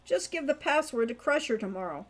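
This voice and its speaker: Woman voicing a slangy, high-pitched male thief. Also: very animated, fairly quick, full character voice. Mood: easygoing, slangy. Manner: slangy, folksy, stammering. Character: dim, good-natured male thief, awkward young crook.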